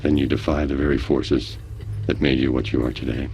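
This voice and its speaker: A man's voice: a bass voice, level tone, brisk, a little mellow, faint music behind. A man voicing a cold, humorless, menacing talking machine in a radio drama. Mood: commanding. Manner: humorless, imperious, formal. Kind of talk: satirical radio drama acting.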